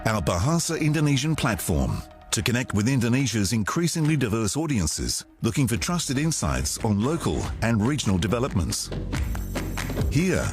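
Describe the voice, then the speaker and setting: Lively male promo voice-over. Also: medium pitch, moderate pace. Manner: smooth, scripted. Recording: voice-over with music bed.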